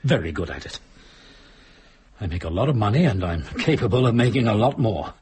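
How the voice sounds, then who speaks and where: An actor playing an earnest, guilt-ridden, defensive middle-aged Englishman with a rough, husky voice in a British drama. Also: lowish voice, lively intonation, fairly quick, a little mellow. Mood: defiant. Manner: earnest, intense. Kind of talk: British radio drama acting.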